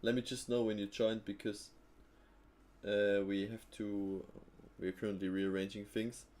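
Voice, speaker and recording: A man's voice: on the low side, flat delivery, unhurried, a little mellow. A man hosting a live trading stream, chatting casually with viewers and thinking aloud with fillers and easy laughs.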